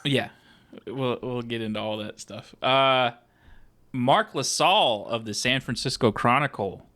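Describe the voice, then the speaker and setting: A man co-hosting a casual movie podcast, relaxed, steering the talk and reading critics' quotes aloud. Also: mid-range voice, a little slow. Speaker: podcast co-host.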